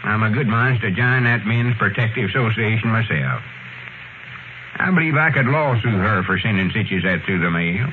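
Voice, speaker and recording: A man's voice: on the low side, moderate pace, close to an everyday voice. An actor playing a grumpy, self-important old country man with a rural Southern accent, folksy in classic radio comedy style. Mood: indignant. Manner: folksy, grumbling drawl. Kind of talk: 1930s-40s radio comedy acting. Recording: scratchy, narrow-band old radio recording.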